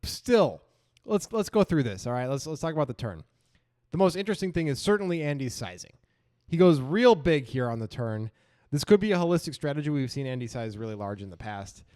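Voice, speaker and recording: Animated man hosting poker talk. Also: medium pitch, a little fast. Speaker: podcast host, poker analyst. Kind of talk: conversational commentary.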